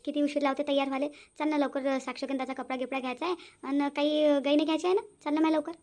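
A woman's voice: sits high, fairly even intonation, darkish tone, natural voice. Woman doing a gossiping village mother-in-law. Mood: bossy. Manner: fast scolding chatter. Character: scheming nosy middle-aged village mother-in-law. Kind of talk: cartoon voice acting.

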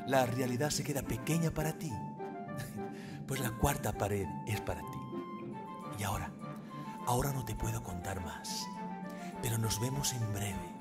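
A man acting out a theatrical avatar host in a virtual-reality teaser. He is secretive and playful, speaking in dramatic, conspiratorial phrases with pauses. Loud music plays under his voice. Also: medium pitch, close to an everyday voice. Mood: conspiratorial, inviting. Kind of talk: dramatic scripted teaser monologue.

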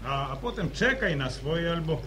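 An actor playing a grumbling middle-aged farmer in a radio drama. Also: male voice, on the high side, expressive, moderate pace, bright, forceful tone. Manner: grumbling complaints. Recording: hissy old radio drama tape.

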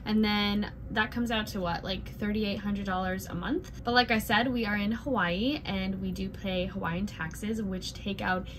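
A woman in her twenties, a cruise ship singer and dancer, talks casually to the camera from a small cabin bedroom, chatty and full of quick asides. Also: medium pitch, fairly even intonation, fairly bright tone. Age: 20s. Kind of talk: casual vlog explainer. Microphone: camera in front at desk distance. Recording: noisy room with ambient hum.

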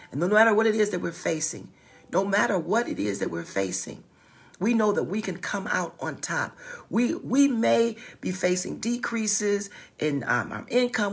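A middle-aged woman pastor delivers an informal livestream sermon in a fairly low, very gravelly voice. She has a slight stutter and repeats key phrases emphatically. Faint music sits behind her. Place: small wood-paneled office.

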